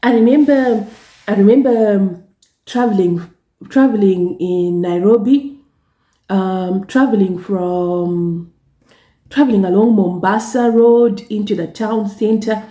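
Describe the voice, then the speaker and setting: A woman from Zimbabwe with a Zimbabwean accent, Shona her first language, talking casually to camera in a slow, halting way with many repeated words and restarts. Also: medium pitch, dark tone, reverberant. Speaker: travel vlogger and gospel singer.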